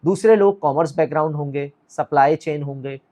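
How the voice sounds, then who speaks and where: A man's voice: on the high side, brisk, warm tone. Exhorting man, middle-aged. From Pakistan. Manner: direct, exhorting, asks the viewer questions. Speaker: academy founder and director. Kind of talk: motivational talk to camera.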